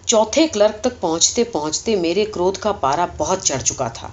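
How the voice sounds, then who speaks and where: A woman reading a short story aloud as expressive, scripted audiobook narration. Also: medium pitch. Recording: dull, muffled sound.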